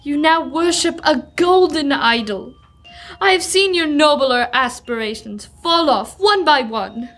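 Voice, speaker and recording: A young woman playing a sorrowful, gentle fiancée in an old-time radio drama. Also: high-pitched, animated, unhurried, full character voice. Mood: sorrowful, resolute. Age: teens.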